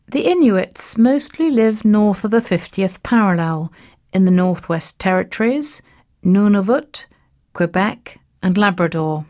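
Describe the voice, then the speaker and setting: Slow, measured woman narrator. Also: mid-range voice, a little mellow. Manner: measured, list-like reading of facts. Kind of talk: scripted educational narration.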